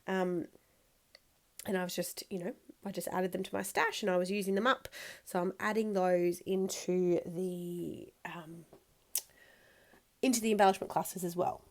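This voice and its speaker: A woman in her thirties with a croaky, congested voice, chatting casually in a voice-over while she scrapbooks, rambling with lots of ums. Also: mid-range voice, darkish tone. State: croaky from a cold. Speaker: scrapbooking video host.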